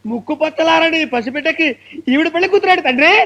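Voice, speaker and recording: An actor playing a panicky, melodramatic wedding priest who wails and laments theatrically. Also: male voice, extremely high pitch, fast talker, vocal fry, sharp tone, lo-fi sound, exaggerated voice. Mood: wailing. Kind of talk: film comedy acting.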